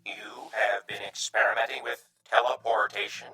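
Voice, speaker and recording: A man's voice: medium pitch, flat delivery, normal speed, creaky, bright, forceful tone, reverberant. A man playing a timid, courteous alien refugee in a radio drama, speaking in stilted, formal, hesitant phrases. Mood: reserved, cautious.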